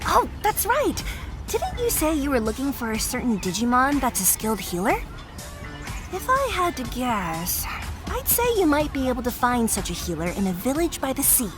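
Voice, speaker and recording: Perky monster girl, game character, high pitch, lively swings, bubbly, theatrical. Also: normal speed, music in the background. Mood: breezy, upbeat. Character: perky, outgoing female Digimon, a helpful moderate Titan. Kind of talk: English video game voice acting.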